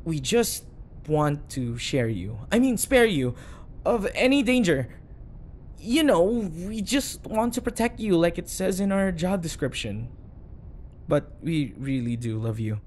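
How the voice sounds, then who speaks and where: A man's voice: on the high side, sing-song, measured pace, a little mellow, slight background noise. A man playing a flustered, obsessively devoted bodyguard in an audio roleplay, earnest and nervously backpedalling. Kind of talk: audio roleplay acting addressed to the listener.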